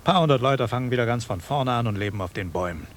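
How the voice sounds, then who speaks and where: A man's voice: medium pitch, animated, fast, close to an everyday voice. An actor playing a gloomy village man, blunt and resigned, in a radio drama. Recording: hissy old cassette recording.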